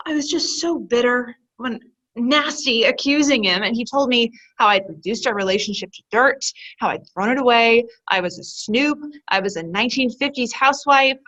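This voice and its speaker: A woman playing a distraught young woman in a play reading, talking in halting, emotional bursts. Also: on the high side, bright, forceful tone, highs cut off. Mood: anguished. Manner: emotional, halting, self-blaming. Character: distraught young woman, shaken after a breakup.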